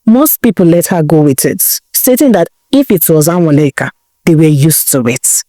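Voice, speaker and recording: Expressive woman storyteller. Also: sits low, moderate pace, no room sound, studio quality. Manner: dramatic, expressive, asks the listener questions. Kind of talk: folktale storytelling narration.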